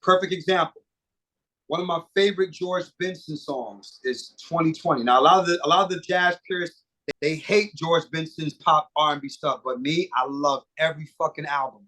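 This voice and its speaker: A middle-aged man with a somewhat high-pitched voice talks as an interview guest. He rambles animatedly, swears freely and restarts his sentences. The talk is loose and casual. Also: moderate pace, sharp tone, low-quality recording. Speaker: guest singer and producer.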